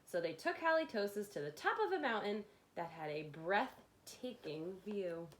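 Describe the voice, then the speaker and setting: A woman reading a picture book aloud to young children in an expressive, dramatic way, like a kindergarten teacher with playful asides. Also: mid-range voice, normal speed, a little hiss or hum.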